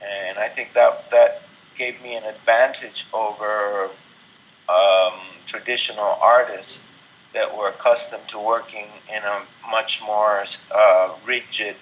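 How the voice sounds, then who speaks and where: A middle-aged man from Venezuela speaking as an interview guest, thoughtful and halting, with many ums and restarts. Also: fairly low pitch, steady tone, fairly bright tone, muffled recording. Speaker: interview guest, artist and composer. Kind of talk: casual interview talk.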